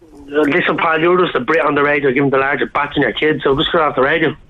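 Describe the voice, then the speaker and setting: Fast, combative Dublin man on tinny phone. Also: a little high, steady tone, a little hiss or hum. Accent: Dublin Irish. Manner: combative, insistent. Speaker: phone-in caller. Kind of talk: heated argument. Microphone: telephone. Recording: tinny narrow phone line.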